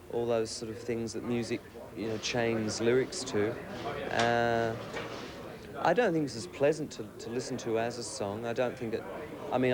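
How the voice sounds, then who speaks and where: A man giving his view tentatively in an informal documentary interview. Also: mid-range voice. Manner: tentative, hesitant.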